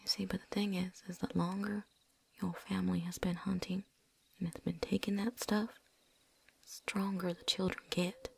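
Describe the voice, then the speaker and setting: A woman playing a tough, world-weary vampire hunter in a whispered ASMR roleplay, breathy, with a folksy put-on drawl. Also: medium pitch, level tone, moderate pace. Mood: wry, explaining. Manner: folksy drawl with dropped endings, put-on accent.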